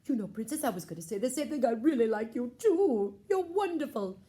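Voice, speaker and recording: A woman's voice: on the high side, sing-song, a little fast, warm tone. A woman voicing an earnest, mischievous young prince in a children's puppet show. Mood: smitten.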